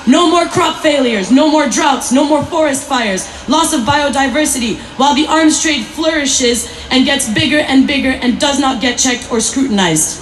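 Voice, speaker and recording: A high-pitched woman speaks as an activist at an outdoor rally. Her speech is impassioned and emphatic, built on rhetorical repetition. The sound is echoey, with some noise behind her. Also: normal speed, hardly any pauses. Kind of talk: impassioned prepared protest speech. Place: outdoor city centre rally in rain.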